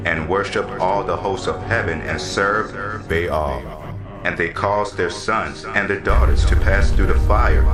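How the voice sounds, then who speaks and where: A man's voice: low voice, echoey room. A man narrating scripture as a drama, in a solemn, declamatory manner.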